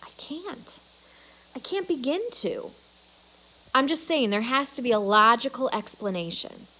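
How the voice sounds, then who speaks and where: A woman's voice: on the high side, expressive, unhurried, sharp tone, exaggerated voice, rough recording. A woman voicing a skeptical, hesitant father in a storybook, doubtful and trying to reason.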